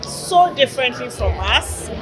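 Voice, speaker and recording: Woman from Ghana, guest, earnest, fairly high voice, music and crowd around. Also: very animated, measured pace. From Ghana, Volta region. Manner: warm, earnest, with frequent fillers. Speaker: guest, kente weavers union director. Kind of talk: interview conversation. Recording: loud music and crowd behind.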